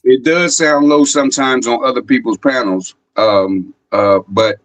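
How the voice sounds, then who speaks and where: Man, casual stream host, halting with fillers, dry close mic. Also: mid-range voice, fairly even intonation, dry recording, rough recording. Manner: rambling, halting, many fillers. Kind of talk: casual chat on a live stream.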